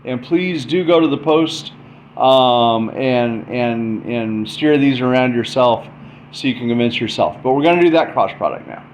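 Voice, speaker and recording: A man's voice: medium pitch, measured pace. A man giving an informal explainer, thinking aloud in short, stop-start phrases as he demonstrates. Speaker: presenter explaining math. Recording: dull lo-fi mic, faint background noise.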